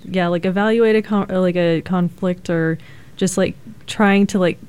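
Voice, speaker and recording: A young woman chats casually in a group discussion, leaning on "like" and "um" as she thinks aloud. Her voice is low-pitched for a woman and smooth. She keeps an easygoing, unhurried rhythm. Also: steady tone, a little mellow. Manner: casual, filler-heavy with frequent 'like'.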